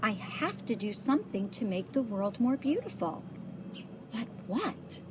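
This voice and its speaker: A gentle, thoughtful older lady from a children's storybook, acted out by a woman reader. She speaks slowly and earnestly in a lightly high, very expressive voice, with a wistful air. The recording has clear background noise.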